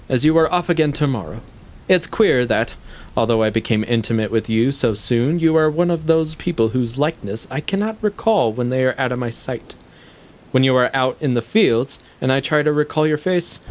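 An actor playing a shrewd, needling intellectual in a stage play, speaking deliberately in formal, articulate, condescending sentences. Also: male voice, medium pitch, close to an everyday voice. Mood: calm, needling. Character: shrewd, probing male intellectual, coolly needling his guest. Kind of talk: stage play acting, long monologues.

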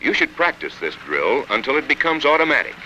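An American man reading scripted instructional narration for a training film in a measured, instructive manner. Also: mid-range voice, big pitch swings, bright, forceful tone, lo-fi sound. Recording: 1960s film soundtrack, faint noise.

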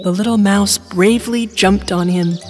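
A woman narrating an animated children's storybook in an expressive storytelling manner. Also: medium pitch, normal speed, faint music behind.

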